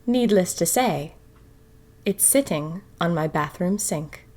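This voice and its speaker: A woman's voice: on the low side, expressive, takes it slowly, a little mellow. A woman playing a dreamy, self-dramatizing young 1920s woman who confides her diary in an audio drama. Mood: uneasy, fascinated. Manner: wistful, confiding, wryly dramatic. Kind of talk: first-person diary audio fiction.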